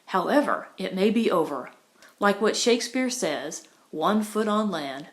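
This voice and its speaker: A woman's voice: medium pitch, animated, a little slow. A woman narrator voicing an outspoken young girl, a rebellious younger sister with a frank manner, in an audiobook. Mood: frank, confiding.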